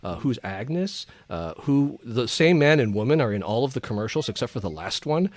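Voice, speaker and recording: A man's voice: medium pitch, big pitch swings, normal speed, no room sound. A man speaking as a podcast panelist in casual, enthusiastic pop culture chat.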